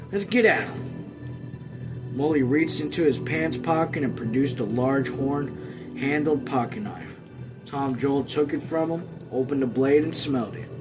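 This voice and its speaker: A man's voice: mid-range voice, low-quality recording. A man hosting a read-along, narrating a novel aloud with casual asides. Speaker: host reading a novel aloud. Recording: music behind.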